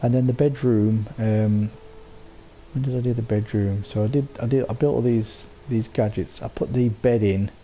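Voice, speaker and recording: A man with a sore throat and a croaky voice, casually talking through how he built something, rambling with lots of ums and restarts. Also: mid-range voice, steady tone, normal speed, mellow, a little hiss or hum. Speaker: game settlement builder. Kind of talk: casual voice-over walkthrough.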